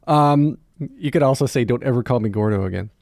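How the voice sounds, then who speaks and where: A man's voice: medium pitch, fairly quick, vocal fry, a little mellow, no echo, clean studio sound. A man hosting a podcast, introducing and chatting with his guest in a playful, teasing, casual way. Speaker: podcast host.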